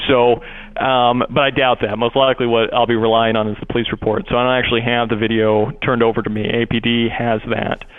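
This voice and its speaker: A man's voice: level tone, brisk. A man speaking as a prosecutor on a phone call, explaining procedure in a wordy, hesitant way. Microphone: telephone call. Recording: muffled low-bandwidth phone line.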